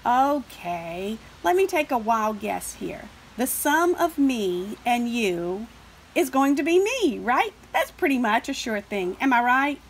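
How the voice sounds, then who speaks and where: A woman's voice: fairly high pitch, big pitch swings, slow pace, breathy edge, heavily acted, rough recording. A woman voicing brash, dismissive, impatient cartoon number characters in a storybook reading. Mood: cocky.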